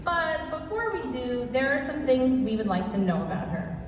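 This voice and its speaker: A woman's voice: high-pitched, big pitch swings, normal speed. A woman giving a humorous scripted wedding speech, read aloud in storybook fashion. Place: large reverberant event room at a wedding. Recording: very echoey, noisy hall from far off.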